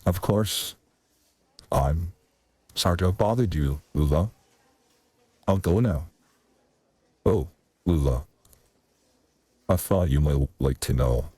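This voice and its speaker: A man voicing a wistful, rambling theatre man in a video game, with a theatrical, deliberate and wordy manner. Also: deep voice, dark tone, husky, dry recording, exaggerated voice. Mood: deflated, wistful. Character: Carrington, wistful rambling male theatre man pleading his case.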